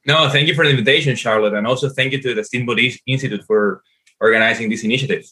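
Halting Venezuelan man with bright voice. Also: medium pitch. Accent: Venezuelan Spanish. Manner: halting, many fillers and restarts. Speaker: guest. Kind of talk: podcast interview answer.

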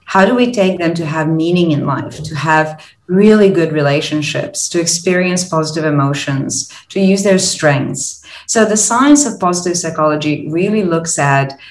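A low-pitched woman from Croatia explains her work as a lecturer in an earnest, thoughtful podcast interview. She pauses on fillers as she builds her points. Her voice carries a roomy echo. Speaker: guest, positive psychology lecturer and consultant. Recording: roomy echoey sound.

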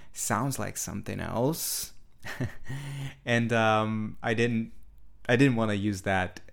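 A man's voice: on the low side, gravelly, clear, resonant tone. A man whose first language is Portuguese, hosting a solo podcast and talking in a reflective, meandering way with many fillers and restarts. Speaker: podcast host.

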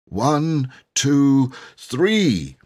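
Man voicing a bold, bossy, husky-edged boy. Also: mid-range voice, very animated, darkish tone, pitched above the performer's own voice, heavily acted. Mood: rallying. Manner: commanding, deliberate, emphatic.

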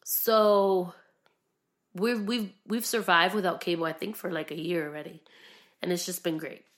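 Young lady chatting on her podcast, slightly low voice, super earnest and gushy. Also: fairly even intonation, normal speed. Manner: effusive, earnest. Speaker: podcast host. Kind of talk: casual solo podcast talk.

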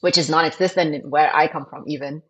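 A woman from Thailand in her thirties, a startup co-founder who explains things in an animated, emphatic way on an interview podcast. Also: low-pitched, fast talker, breathy edge. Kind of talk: interview answers.

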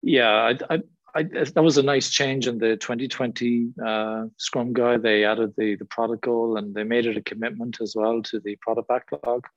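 A man's voice: mid-range voice, flat delivery, normal speed, no room sound. A middle-aged Irish man speaking as a guest trainer in a webinar, discursive with frequent fillers. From Ireland. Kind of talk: webinar Q&A talk. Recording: dull lo-fi sound.

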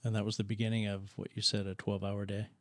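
Deep flat-voiced male host. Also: no room sound. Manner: measured, filler-heavy, reflective. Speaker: podcast co-host. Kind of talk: conversational interview.

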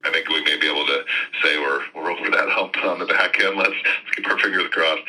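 An American man, an official, answering interview questions off the cuff in a measured, hedging, conversational way. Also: a bass voice, bright, forceful tone, breathy edge, low-quality recording. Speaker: school district official being interviewed.

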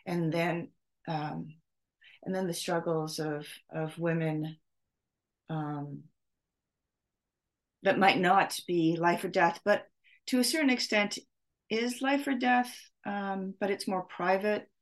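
Middle-aged female podcast host, low register, slow and hesitant, unscripted reflection. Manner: halting, searching for words, with long pauses. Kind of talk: off-the-cuff spoken reflection.

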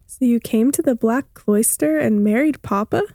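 A woman playing a bright-eyed, inquisitive young daughter in a radio drama. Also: on the high side, moderate pace, dry recording, clean studio sound. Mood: curious. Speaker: actor in an audio drama.

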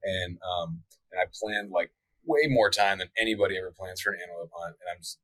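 A young man with a lowish voice talks through his plans in a relaxed, rambling podcast chat. He fills his sentences with likes and ums and keeps an easy, upbeat tone. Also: a little fast, no room sound, low-quality recording. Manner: laid-back, many likes and ums, faith talk.

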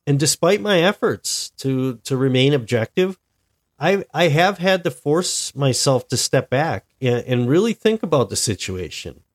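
A man's voice: mid-range voice, lively intonation. Stuffy-sounding man hosting a podcast. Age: adult, married. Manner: deliberate, reflective, with frequent restarts. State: stuffy, sick with a cold-like illness. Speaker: podcast host. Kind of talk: solo podcast monologue.

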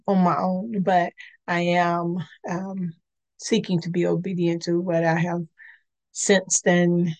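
Slightly raspy, earnest woman podcast host. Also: on the low side, a little mellow. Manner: earnest and conversational. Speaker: podcast host and licensed clinician. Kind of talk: faith-based podcast talk.

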